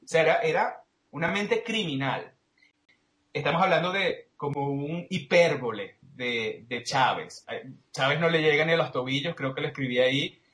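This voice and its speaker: Male interview guest, Venezuelan accent, slightly high voice, analytical and explanatory, recorded with marked room echo. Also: moderate pace, fairly bright tone. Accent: Venezuelan Spanish. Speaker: interview guest, political commentator.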